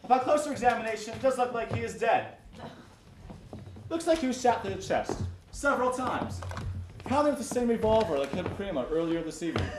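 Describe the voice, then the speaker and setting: A man playing an excitable, take-charge character in a comedic murder mystery, projecting theatrically. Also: high-pitched, brisk. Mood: dry, pompous. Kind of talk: comedic stage play acting. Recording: echoey stage, distant mic, noisy.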